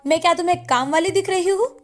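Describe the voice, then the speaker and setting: A woman's voice: extremely high pitch, quick pace, faint music behind. A woman voicing a snobbish, rude young daughter-in-law with a contemptuous, affected manner in a cartoon. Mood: indignant, contemptuous. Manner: contemptuous, affected English phrases. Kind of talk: cartoon voice acting in a moral story.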